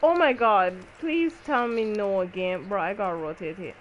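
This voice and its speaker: A woman game streamer with a medium-pitched voice, talking casually and slangily. She reacts out loud with exclamations, over faint noise from her stream. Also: normal speed, lo-fi sound. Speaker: gaming streamer. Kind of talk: casual game livestream commentary.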